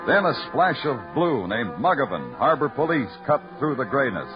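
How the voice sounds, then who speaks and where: An actor playing a dry, wisecracking police lieutenant who narrates a 1940s radio detective drama. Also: male voice, mid-range voice, very animated, moderate pace, lightly acted. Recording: lo-fi old radio broadcast with music.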